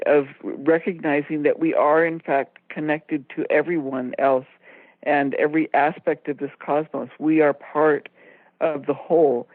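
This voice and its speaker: A woman co-hosting a radio talk show, explaining her points in a measured, orderly way. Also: sits low, no echo. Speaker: co-host and author. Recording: dry tinny phone line.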